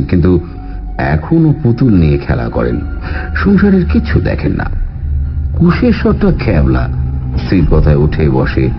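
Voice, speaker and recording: An actor playing a family doctor who confides and explains in a measured way, in a radio drama. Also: male voice, fairly low pitch. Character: male family doctor confiding a patient's troubled household. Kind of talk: Bengali radio drama dialogue. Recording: muffled radio audio with faint music.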